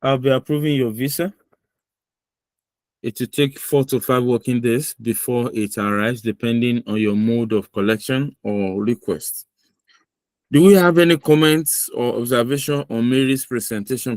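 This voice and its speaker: A man's voice: medium pitch, a little mellow, no room sound. A man running a mock visa interview on a video call, giving firm, lecturing feedback and repeating his points for emphasis.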